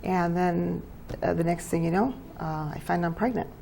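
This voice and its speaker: Gravelly older woman, chatty guest. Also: on the low side, fairly even intonation, warm tone, slight background noise. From New Mexico, US. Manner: chatty, laughs easily, halting with fillers. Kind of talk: casual interview chat.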